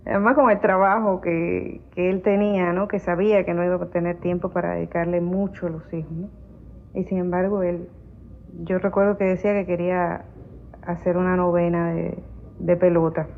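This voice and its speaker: A woman's voice: on the low side, little pitch movement, normal speed, vocal fry. A woman in an interview fondly recalling a family member, chatty with small fillers. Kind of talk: reminiscing interview. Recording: phone-like interview audio with light noise.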